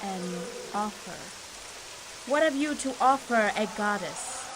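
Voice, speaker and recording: A woman's voice: mid-range voice, lively intonation, slow pace, very noisy. A woman playing a regal, dignified storm goddess in an audio drama, speaking in a formal, stately manner. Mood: wary, imperious. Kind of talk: scripted audio drama acting.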